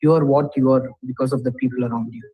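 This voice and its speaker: A man's voice: medium pitch, steady tone, fast, dark tone, breathy edge, dry recording, low-quality recording. A young man giving a personal testimonial as a student living abroad. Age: young adult.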